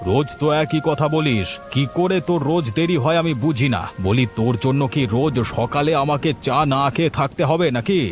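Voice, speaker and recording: A man's voice: a little high, brisk, soft background music, natural voice. A man voicing a grumpy, stern village master in a cartoon story, nagging as he talks. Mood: scolding. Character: grumpy village master scolding his late milk boy. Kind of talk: Bengali cartoon story voice acting.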